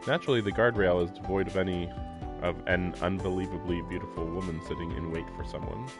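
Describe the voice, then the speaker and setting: A chatty man streams a visual novel, his voice on the low side. He reads lines aloud between casual remarks and frequent laughs, with game music playing behind him. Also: fairly even intonation, quick pace. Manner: chatty, laughs often, filler-heavy.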